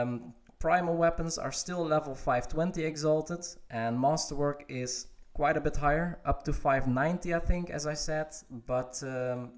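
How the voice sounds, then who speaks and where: A man's voice: a little high, darkish tone. Man answering stream chat. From Netherlands. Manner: answers chat questions while playing, hesitant with many fillers. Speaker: game streamer. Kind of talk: casual livestream Q&A.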